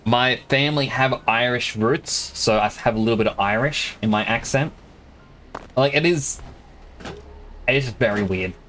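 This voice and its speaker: A man's voice: mid-range voice, moderate pace, pauses often, a bit bright, a little hiss or hum. A man from Australia with an Australian accent blended with some American, chatting casually and explaining things at length while streaming a game. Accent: Australian, mixed with American. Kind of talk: casual live-stream commentary.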